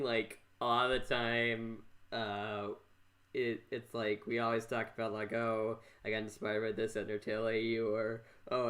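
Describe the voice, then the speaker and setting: An American man from Minnesota hosting a casual podcast interview, rambling and halting as he repeats himself. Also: medium pitch, fairly bright tone. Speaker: podcast host.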